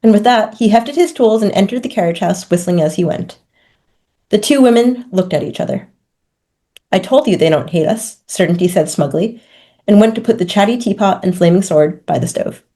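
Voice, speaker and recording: Female author reading fiction, very mellow, medium-pitched voice. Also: lively intonation, moderate pace. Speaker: author reading from own book. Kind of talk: scripted fiction reading with dialogue. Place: indoors, on a video call.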